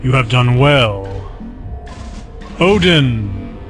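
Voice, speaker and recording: A man voicing a cold, scheming male villain in an audio drama, commanding and measured. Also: mid-range voice, expressive, gravelly, a bit bright, faint music behind, full character voice. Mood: gloating.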